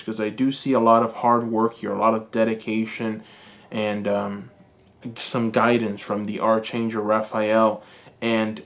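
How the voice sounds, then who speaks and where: A Cuban American man giving a calm, reassuring tarot reading, speaking slowly and soothingly to his viewers. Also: on the low side. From United States. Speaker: tarot reader. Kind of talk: pick-a-card tarot reading.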